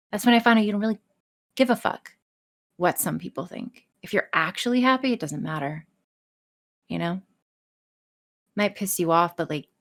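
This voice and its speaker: A woman chatting casually as a podcast guest, blunt and emphatic, swearing freely. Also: lowish voice. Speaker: podcast guest, audio roleplay artist. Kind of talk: casual, profane interview chat.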